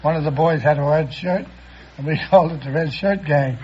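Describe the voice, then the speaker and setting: A man answers casually as a quiz contestant in a fairly high voice. The old radio recording is muffled, with faint noise. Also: a little fast, darkish tone.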